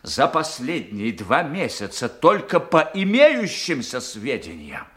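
Radio play male intelligence chief: forceful, sardonic, clipped interrogation, with wide swings of intonation. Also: medium pitch, huge pitch swings, slow pace, exaggerated voice. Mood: angry, sarcastic. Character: domineering sardonic male intelligence boss grilling his subordinate. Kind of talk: Russian radio drama acting, circa 1990.

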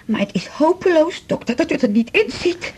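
A woman's voice: high-pitched, animated, moderate pace, a little mellow. An actress playing a confused, depressed woman patient who rambles hesitantly and pleads, in a radio drama. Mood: agitated, gloomy. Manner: rambling, hesitant, jumping between thoughts.

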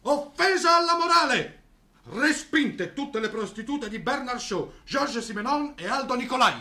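Shrill, pompous censor. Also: male voice, animated, a little slow, sharp tone, exaggerated voice. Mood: outraged, officious. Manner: officious, emphatic. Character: pompous, outraged male theatre censor. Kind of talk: theatrical declamation.

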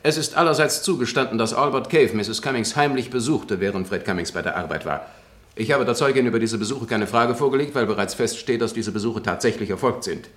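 An actor playing a formal prosecuting barrister in a radio courtroom drama, protesting in a brisk, correct manner. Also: male voice, medium pitch, fairly bright tone, natural voice. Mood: formal objection.

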